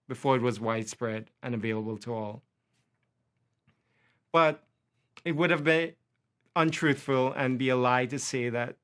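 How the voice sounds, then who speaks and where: Man, Trinidadian accent, faculty lecture, impassioned, smooth resonant voice. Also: medium pitch, a bit bright. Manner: impassioned, builds through repeated lists. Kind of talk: prepared lecture.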